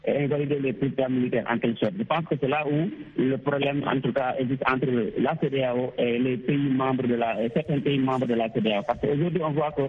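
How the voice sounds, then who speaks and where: A man's voice: mid-range voice, steady tone, a little fast, few pauses. Man on a tinny, noisy phone line. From Senegal. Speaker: listener calling in to a radio show. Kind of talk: reasoned political opinion.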